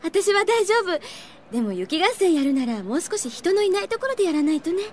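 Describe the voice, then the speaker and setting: A woman playing a friendly young student teacher, gentle, composed and lightly amused, in an audio drama. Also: very high-pitched, big pitch swings, brisk, slight background noise. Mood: kindly. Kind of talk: Japanese audio drama acting.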